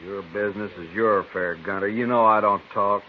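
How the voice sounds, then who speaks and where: A dull, slightly noisy old radio recording of a western. A man plays a reformed American gunslinger, terse and unflinching, in a fairly low voice. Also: steady tone, brisk, natural voice. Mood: cool, defiant. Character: steely, terse gunfighter who is going straight. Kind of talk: 1950s radio western acting.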